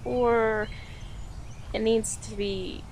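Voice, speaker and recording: A woman playing an affectionate, playful girlfriend in an audio roleplay, talking softly and giggly to the listener with lingering pauses. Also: fairly high pitch, fairly even intonation, a little hiss or hum. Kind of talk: audio roleplay, intimate slow-paced monologue to listener.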